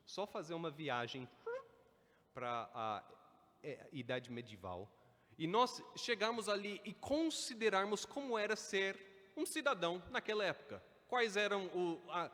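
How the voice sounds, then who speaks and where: Guy preaching in church, kinda high voice, swoops up and down, echoey hall. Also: measured pace. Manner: lively preaching, rising to emphatic stresses. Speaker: pastor. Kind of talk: sermon. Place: church sanctuary. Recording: church hall with long reverb.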